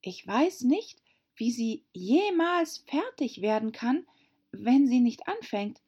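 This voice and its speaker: Woman doing a prim little girl, high pitched, pert n questioning, storybook voice, bit muffled. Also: very animated, takes it slowly, dark tone, slightly rough, exaggerated voice. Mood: puzzled, polite.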